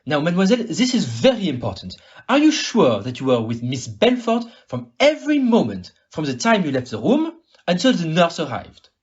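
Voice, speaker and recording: A man's voice: fairly high pitch, wildly swooping pitch, normal speed. A man playing a shrewd, formal detective in an audio play, questioning politely and precisely, on a muffled recording. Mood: probing. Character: shrewd, courteous male detective, probing and precise.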